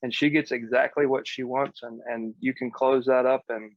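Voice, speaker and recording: A man's voice: mid-range voice, steady tone, normal speed, no echo, lo-fi sound. A man giving casual, blunt coaching as a real estate podcast host, explaining step by step in informal, mildly profane language.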